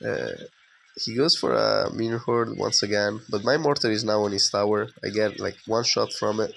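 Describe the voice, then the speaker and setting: Young man, hoarse and sick, gravelly low voice, relaxed game commentary, filler words. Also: moderate pace, slight background noise. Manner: relaxed, conversational with frequent fillers. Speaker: gameplay commentator. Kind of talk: casual game commentary voice-over.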